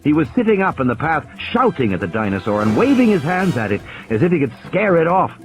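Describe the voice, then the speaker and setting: A man narrates a dramatic audiobook from a script. His voice is a little high and swings widely in pitch, with music clearly playing behind. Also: big pitch swings, quick pace.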